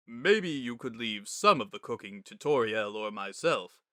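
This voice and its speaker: A big, gentle monster king who soothes in a low, soft voice, voiced by a man. Bright and close, with a dry sound. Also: lively intonation, measured pace, dry recording. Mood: kindly. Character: large gentle king of monsters, kindly and reserved.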